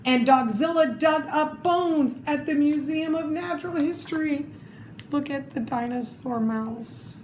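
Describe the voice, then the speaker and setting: A woman reads a children's story aloud with expression and chatty asides. Her voice is fairly high and her pace slow. The recording is roomy, with clear noise behind her. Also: darkish tone. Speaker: storybook reader.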